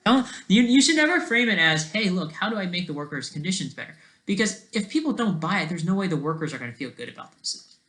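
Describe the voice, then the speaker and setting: A person giving rambling off-the-cuff commentary in a halting, sarcastic way, restarting mid-thought and often checking with a questioning tag. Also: mid-range voice, sing-song, a bit bright.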